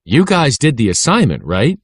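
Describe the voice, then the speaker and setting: A chatty, cocky schoolboy as a man reads him from a children's storybook. He talks casually and eagerly, with very animated pitch. The sound is dry and close. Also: normal speed, husky, dry recording, heavily acted.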